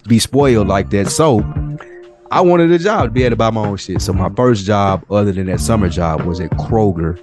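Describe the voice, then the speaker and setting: A man's voice: fairly low pitch, brisk. An American man in his forties telling stories in a casual, slangy, profane way as a podcast co-host. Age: 40s. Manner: profane, slangy, animated retelling. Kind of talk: casual storytelling chat. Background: music.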